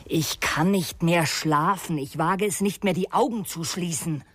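A haunted, resigned young man in a radio drama. He confesses in a subdued, halting way, with a fairly high male voice that is breathy and husky, recorded close and dry. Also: a bit bright, no echo. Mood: resigned, fearful. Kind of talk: German radio crime drama acting.

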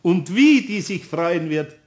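A man's voice: high-pitched, animated, takes it slowly. An actor playing a kindly, indulgent older craftsman with a Bavarian accent in a children's radio play. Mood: grateful. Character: kindly, good-natured older male craftsman.

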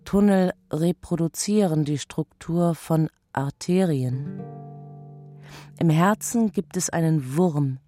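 A woman recites poetry for a radio play in a low register. Her delivery is slow and solemn, with plucked guitar softly underneath. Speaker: voice performer reading poetry. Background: soft plucked guitar music.

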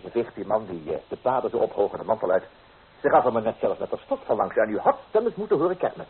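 An actor playing a young, amorous painter, coaxing and theatrical, in an old radio drama. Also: male voice, fairly low pitch, sing-song, quick pace, slightly rough, heavily acted. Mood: breezy, over-eager. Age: young adult. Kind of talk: old radio play acting. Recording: tinny old radio recording, hissy.

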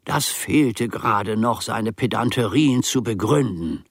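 An actor playing a self-important older manservant who grumbles pedantically and theatrically in a radio drama monologue. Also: male voice, on the low side, lively intonation, a little slow, creaky, husky, no echo, clean studio sound, heavily acted. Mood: indignant, brooding.

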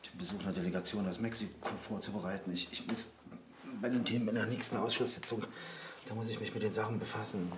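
An actor playing a stressed, evasive politician who pleads haltingly and apologetically in a naturalistic radio drama. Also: male voice, on the low side, steady tone, natural voice. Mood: flustered, apologetic. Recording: roomy with clear background noise.

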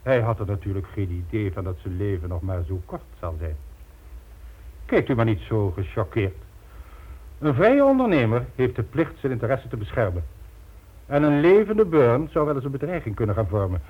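An actor in a radio play voicing a suave, ruthless criminal mastermind, smug and polished, coolly condescending. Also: male voice, medium pitch, very animated, moderate pace, breathy edge. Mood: coldly menacing. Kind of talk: Dutch radio play acting. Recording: dull lo-fi old radio recording.

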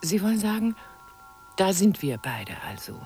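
Yearning, expressive woman with music behind. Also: medium pitch, unhurried, gravelly. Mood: yearning, coaxing. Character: yearning, flirtatious female portrait sitter, awed by her painter. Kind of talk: German radio play acting.

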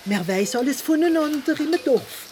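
A woman's voice: high voice, expressive, moderate pace, mellow, a little hiss or hum. An actress playing a chatty, gossipy farm woman in a radio play, with a French-tinged Alsatian accent in her German and French phrases slipped in. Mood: gossipy, confiding. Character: gossipy village farm woman, plain-spoken neighbour in the cowshed.

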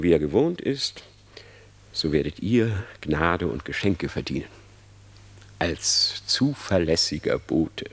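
An actor playing a sly, scheming fox uncle in a German radio play, flattering and persuasive. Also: male voice, on the low side, breathy edge, full character voice.

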